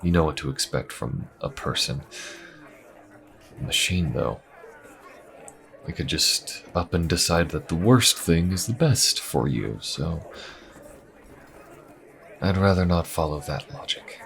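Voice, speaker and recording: A man playing a calm, shrewd adventurer and secret agent in a fantasy audio roleplay, speaking in a measured, hesitant, conspiratorial way. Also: deep voice, gravelly, a faint crowd, heavily acted. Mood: wry, wary. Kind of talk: fantasy audio roleplay, intimate conversational acting.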